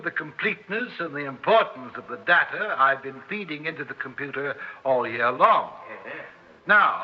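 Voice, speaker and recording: An actor playing a cocksure, coolly superior scientist in a 1960s radio drama, lecturing and unruffled. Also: male voice, on the high side, close to an everyday voice. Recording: muffled old radio recording, faint noise.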